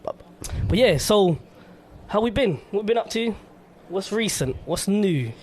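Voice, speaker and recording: A young man hosts a relaxed radio chat in a slightly high voice. He rambles in a friendly way, stacking "like" and "yeah" as he goes. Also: measured pace, a little hiss or hum. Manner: relaxed, chatty, lots of "like" and "yeah". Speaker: radio host. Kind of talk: casual radio interview chat.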